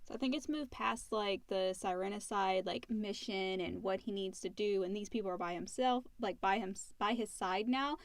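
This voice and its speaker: Lady co-host on a podcast, mid-pitched voice, casual chatting, really dry recording. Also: moderate pace, hardly any pauses, dry recording. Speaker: podcast co-host reading listener questions. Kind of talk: casual chat.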